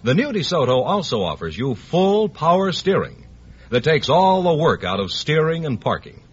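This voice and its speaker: A man's voice: fairly high pitch, very animated, moderate pace, husky. An American man announcing a 1950s radio advertisement in an emphatic, polished sales delivery. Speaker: commercial announcer. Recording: dull vintage radio recording.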